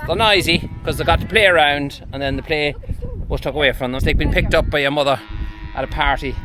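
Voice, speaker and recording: An Irish man, a farmer, casually explaining his work to camera in a down-to-earth, mildly sweary way. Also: a little high. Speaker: farmer and farm vlogger. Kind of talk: casual vlog commentary while working. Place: outdoors in cattle fields. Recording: very noisy outdoor farm, cattle mooing.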